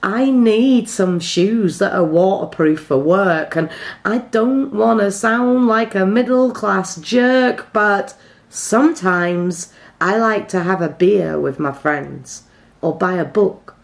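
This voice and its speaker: A middle-aged British woman performs a rhyming spoken-word poem as a slam poet, in a measured, rhythmic delivery with deliberate pauses. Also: mid-range voice, gravelly.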